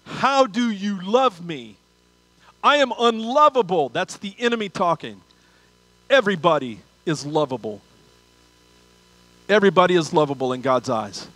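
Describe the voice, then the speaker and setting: A man's voice: high voice, animated, moderate pace, long pauses, fairly bright tone, no echo, poor audio. An older man preaches as a pastor, talking conversationally and repeating phrases and rhetorical questions for emphasis. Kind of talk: sermon. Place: large church auditorium stage.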